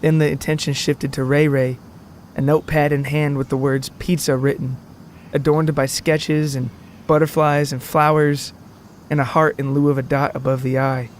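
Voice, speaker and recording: A man narrating a scripted comic story in a wordy, deadpan manner. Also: fairly high pitch, moderate pace, slight background noise.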